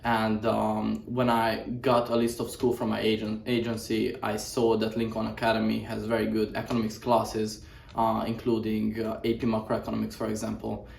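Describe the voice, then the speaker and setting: A man's voice: lowish voice, monotone, moderate pace, creaky, a little mellow, a little hiss or hum. A young man from Hungary, a boarding school student, giving earnest interview answers with many "uh" fillers. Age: young adult. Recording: echoey room, mic at a distance.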